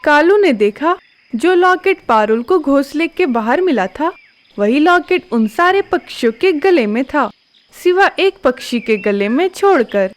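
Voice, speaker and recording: A woman narrating a cartoon story in a steady, clear storytelling manner. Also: sits high, a little slow.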